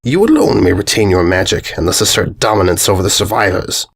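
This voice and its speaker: A raspy, low-voiced centaur demon from a storybook, acted out by a male reader. He is menacing and pompous, speaking in slow, grandiose phrases with an exasperated edge. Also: very animated, a crowd in the background, full character voice. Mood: puzzled, bargaining. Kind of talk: storybook narration character voice.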